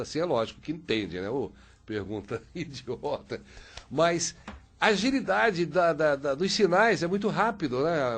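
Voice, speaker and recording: A man hosting a radio interview, asking long, rambling questions full of fillers. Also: medium pitch. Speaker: radio host.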